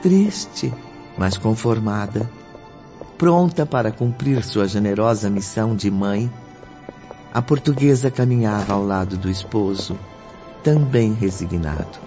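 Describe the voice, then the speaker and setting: A man narrating a radio drama as a solemn storyteller, with measured, literary delivery. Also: lowish voice, dark tone, lightly acted. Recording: dull audio with music bed.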